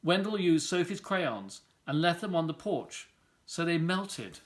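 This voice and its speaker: A man's voice: a little high, very animated, unhurried. A man reading a children's picture book aloud as a storyteller. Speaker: volunteer story reader.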